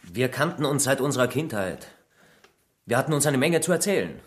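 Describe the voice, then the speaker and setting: An actor playing a bitter, defensive village shopkeeper under suspicion in a radio crime drama, curt and indignant. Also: male voice, medium pitch, very animated, fairly quick, close to an everyday voice. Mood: guarded, subdued.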